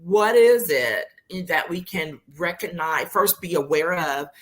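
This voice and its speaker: A woman's voice: fairly low pitch, animated, a little slow. A woman co-hosting a podcast conversation, casual and chatty. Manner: casual, chatty, laughing easily. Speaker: co-host.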